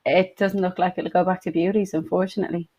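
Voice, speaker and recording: Woman playwright chatting on a video call, slightly low voice, casual guest vibe. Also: flat delivery, quick pace, dark tone. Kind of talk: casual chat.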